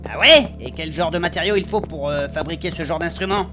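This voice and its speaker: A woman's voice: low-pitched, a little fast, over music, natural voice. A woman playing a bossy, brash adventurer who leads her party in a comic fantasy audio drama, blunt and colloquial. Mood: casual.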